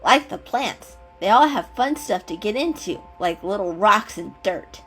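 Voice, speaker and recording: A woman's voice: medium pitch, animated, a little slow, a bit bright, rough recording. Woman voicing an eager, mischievous boy kitten. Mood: eager, scheming. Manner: eager, encouraging, playful. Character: bold, mischievous young male kitten, adventurous and upbeat. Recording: music under the voice.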